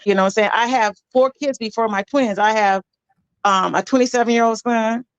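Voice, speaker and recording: A woman in her forties telling her story in an interview, matter-of-fact and a little halting. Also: a little high, clean tone, dry recording. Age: 40s. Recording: dull, dry lo-fi clip audio.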